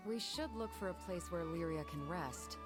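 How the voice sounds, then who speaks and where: A woman's voice: fairly low pitch, animated, moderate pace, lightly acted. A woman voicing a caring, composed and protective companion in a video game, with music behind her. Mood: gentle concern. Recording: game audio with music underneath.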